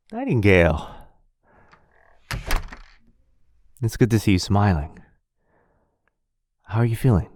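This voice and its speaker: A man playing a gentle, centuries-old vampire lover in an ASMR roleplay, soft-spoken and reassuring. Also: low-pitched, no room sound, clean studio sound, heavily acted. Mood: glad, affectionate.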